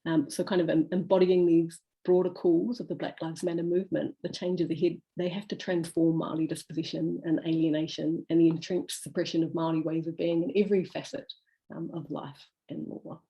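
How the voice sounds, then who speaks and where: A woman giving a prepared academic talk over a video call, measured and dotted with frequent "um"s. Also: low-pitched, flat delivery, mellow, poor audio. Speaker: law academic presenter.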